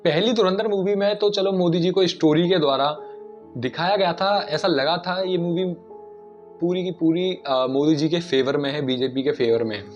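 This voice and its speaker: A man's voice: fairly high pitch, normal speed. A man giving casual, argumentative explanatory commentary, putting rhetorical questions to his listeners. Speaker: video commentator. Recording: dull lo-fi audio, music behind. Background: background music.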